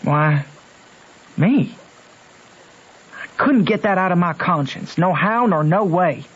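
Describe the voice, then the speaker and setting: A man's voice: high-pitched, animated, slow pace, a bit bright, breathy edge, full character voice. A man playing a conscience-torn backwoods boy with a Southern American accent and folksy, earnest speech in an old-time radio drama. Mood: troubled. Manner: folksy, earnest, ungrammatical rustic talk. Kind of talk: old-time radio drama, first-person narration and dialogue. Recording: dull old radio recording.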